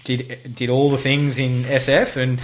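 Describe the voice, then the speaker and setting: Male interviewer, mid pitch, relaxed matey delivery, frequent restarts. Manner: matey and halting, says "mate" often. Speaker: podcast host. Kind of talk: casual interview. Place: guest's house.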